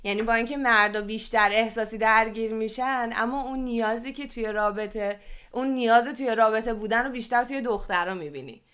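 A woman's voice: fairly high pitch, a bit bright, clear, resonant tone. A woman with an Iranian Persian accent that mixes in English words, co-hosting a conversational podcast in an explanatory, questioning way. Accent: Iranian Persian with English loanwords. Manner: explanatory, poses questions to co-host. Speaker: podcast co-host. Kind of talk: conversational podcast discussion, presenting survey figures.

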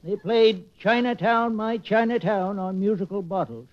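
An actor playing a plainspoken country farmer with a rural American accent in 1940s radio comedy, telling homespun stories deadpan. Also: male voice, high voice, moderate pace, a crowd in the background, lightly acted. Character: dry, countrified New England farmer, slow-witted and plainspoken. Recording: dull old-time radio recording.

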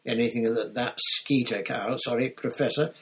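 A man reading aloud and voicing an earnest teenage boy who talks quickly and gets a little flustered. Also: mid-range voice, steady tone, breathy edge. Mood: earnest, indignant.

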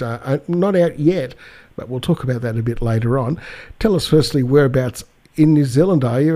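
A man's voice: mid-range voice, mellow. A man hosting a weekly radio show and interviewing a guest in a friendly, easygoing way. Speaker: radio host.

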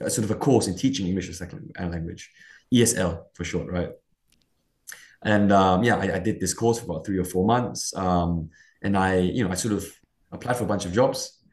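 A man's voice: low voice, brisk, darkish tone. A man from Malaysian Borneo giving conversational interview answers, rambling and leaning on "you know" and "sort of". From Sarawak, Malaysian Borneo. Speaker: guest. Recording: roomy echo.

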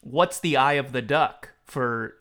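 A man's voice: mid-range voice, slow pace, a bit bright. A man hosting a podcast in casual conversation, with a wry, joking manner. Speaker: podcast host. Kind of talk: casual chat.

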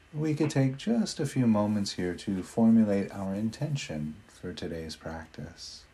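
A man leading a guided meditation in a gentle, unhurried manner with long pauses between phrases. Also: on the low side.

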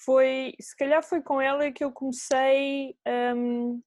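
A woman's voice: high-pitched, flat delivery, slow pace, a little mellow, no echo, clean studio sound. A woman with a European Portuguese accent, co-hosting a casual chat about books. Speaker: book podcast co-host.

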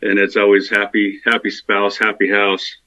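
Male guest, American, laid-back joker, lowish bright voice. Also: monotone, moderate pace, muffled recording. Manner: laid-back, joking, laughs at his own lines. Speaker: podcast guest, home bar owner. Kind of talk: casual interview chat.

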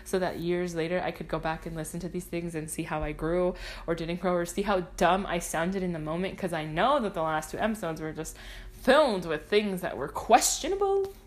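A woman's voice: on the low side, a little fast. A woman in her twenties whose first language is Spanish, hosting a solo podcast in a rambling, self-deprecating way, swearing freely and drifting into tangents. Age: 20s. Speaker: podcast host. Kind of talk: casual solo podcast monologue.

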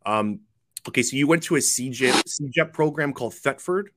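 A man's voice: mid-range voice, fairly quick, noisy background. A man asking casual, somewhat hesitant interview questions as a podcast host.

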